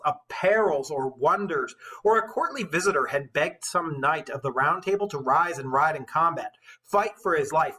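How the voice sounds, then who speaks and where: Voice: medium pitch, sharp tone, breathy edge, rough recording. A teacher reading a poem aloud with dramatic storytelling emphasis, breaking into casual, informal commentary.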